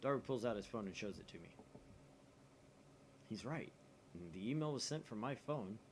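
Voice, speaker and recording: Animated man reading a visual novel aloud. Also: mid-range voice, normal speed. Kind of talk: expressive read-aloud narration with asides. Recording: steady faint background noise.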